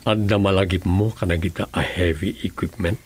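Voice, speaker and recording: A man acts a deliberate, serious questioner in a radio serial. His voice is deep, and there is slight ambient noise behind it. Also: steady tone, close to an everyday voice. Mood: serious, probing. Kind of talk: radio serial drama acting.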